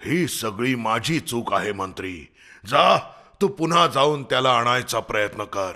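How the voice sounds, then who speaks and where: A man voicing a hungry, injured lion king in a children's animal story, gravelly and regal, giving commands. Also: mid-range voice, lively intonation, a little slow, bright, forceful tone. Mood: regretful, ordering.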